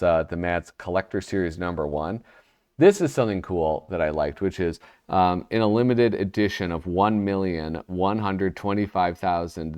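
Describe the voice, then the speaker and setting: A man's voice: low-pitched, a little mellow, smooth. A man hosting his own magazine review channel, chatty and self-deprecating, sounding nasal from a cold. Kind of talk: casual solo review vlog.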